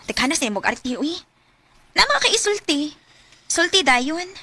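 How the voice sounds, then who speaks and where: A woman's voice: high voice, sing-song, normal speed, bright, forceful tone, heavily acted. A woman playing a giddy, flippant young student in a radio drama, theatrical and exclamatory, with a Filipino accent. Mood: annoyed, scoffing. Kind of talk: Cebuano radio drama acting. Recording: faint background noise.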